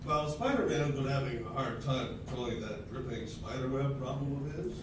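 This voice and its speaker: A man's voice: mid-range voice, animated, moderate pace, close to an everyday voice. An older man in an amateur readers theatre, playing an aging superhero husband who bickers wryly with his wife, performed in a senior center hall. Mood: bickering. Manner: bickering, wry complaining. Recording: echoey hall, distant mic, background noise.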